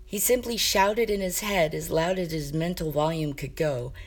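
A woman reading a comic story aloud as an expressive amateur narrator, with wry, dramatic emphasis. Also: deep voice, moderate pace. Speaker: amateur fiction reader.

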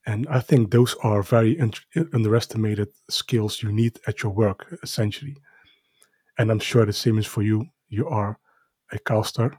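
Low husky Dutch-accented man. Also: steady tone, normal speed, darkish tone, dry recording. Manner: hesitant, many fillers and restarts. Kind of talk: casual podcast conversation.

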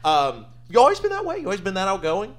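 A man's voice: high voice, wildly swooping pitch, fast. A man hosting an interview, friendly and chatty, telling stories and asking questions. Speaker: podcast host. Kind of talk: interview conversation.

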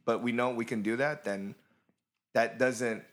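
A man co-hosting a casual podcast chat, talking in animated bursts full of fillers. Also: medium pitch, a little slow.

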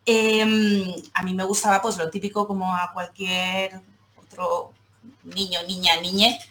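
A woman's voice: mid-range voice, level tone, takes it slowly, a bit bright, a little hiss or hum. A woman from Seville in Spain, chatting casually as a podcast guest and telling personal stories in a giggly manner. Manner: giggly, laughs often while talking. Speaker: podcast guest, comic artist. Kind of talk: casual chat, personal storytelling.

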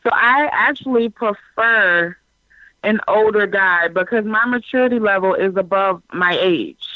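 A woman's voice: medium pitch, a little slow, no echo. A woman in her twenties, earnestly explaining her views at length in casual chat. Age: 20s. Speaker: guest. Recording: dull dry call audio.

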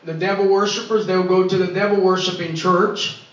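A man from Brazil, a Portuguese native speaker, preaching fervently in English and interpreting a testimony, at times shouting praise. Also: high-pitched, little pitch movement, moderate pace. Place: church sanctuary. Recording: echoey church heard from far off, dull.

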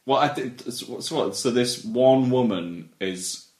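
A man's voice: medium pitch, expressive, slow pace, a little mellow. A British man chatting casually in a wry, mocking, giggly way as a joking critic. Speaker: co-host, critic. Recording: echoey room with clear background noise.

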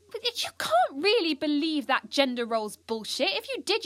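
A woman's voice: mid-range voice, fairly bright tone, lightly acted. A woman playing a restless, defiant teenage schoolgirl, telling her story intensely in a first-person audio drama monologue. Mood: angry, defiant. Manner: intense, urgent, confiding, swearing.

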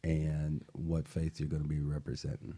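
A man's voice: very deep voice, monotone, normal speed, dark tone, breathy edge. A man hosting a radio talk show, introducing and presenting to listeners and guests.

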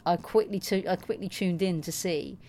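A woman's voice: fairly low pitch, normal speed, warm tone, a little hiss or hum. Chatty woman with lots of fillers. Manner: chatty, self-mocking, lots of fillers and restarts. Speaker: podcast co-host. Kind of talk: casual tennis chat.

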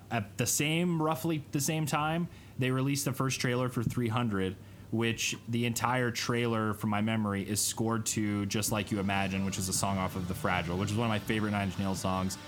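A man's voice: fairly low pitch, a bit bright. A man of thirty, co-hosting a podcast in casual chat and telling a rambling story that keeps correcting itself. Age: 30s. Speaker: podcast co-host.

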